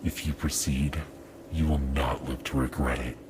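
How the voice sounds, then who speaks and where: Casting: menacing unseen threat-maker, extremely low register, ominous radio-play delivery, noisy backdrop. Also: male voice, steady tone, moderate pace. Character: menacing anonymous threat-maker voicing a warning note. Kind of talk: audio drama villain acting.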